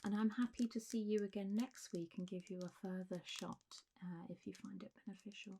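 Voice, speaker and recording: A woman playing a calm zombie doctor in a soft-spoken ASMR roleplay, gentle, reassuring and a little hesitant, sometimes slipping towards a whisper. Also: medium pitch, a little fast, creaky, a little hiss or hum, natural voice. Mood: soothing, attentive.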